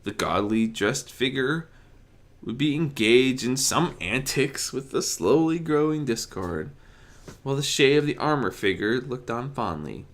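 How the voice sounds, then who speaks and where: A man narrating a fan fiction audiobook in an expressive storytelling style. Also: medium pitch, unhurried.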